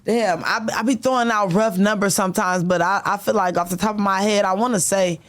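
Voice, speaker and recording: A woman's voice: medium pitch, steady tone, brisk. Laid-back young woman rapper, candid. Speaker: rapper, guest. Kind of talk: casual interview chat.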